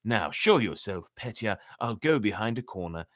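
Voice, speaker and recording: A sly storybook fox, played by a man, coaxing and flattering with big swings in pitch. The voice is lifted and sing-song, close and dry as it lures its prey. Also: normal speed, no echo.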